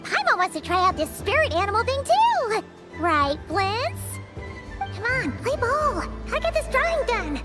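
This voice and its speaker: A woman voicing a tiny, cheeky, excitable childlike fairy companion in a video game, squeaky and exclamatory. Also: a little slow, over loud music, full character voice. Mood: nervous coaxing. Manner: exclamatory, refers to self in third person. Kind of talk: animated video game voice acting.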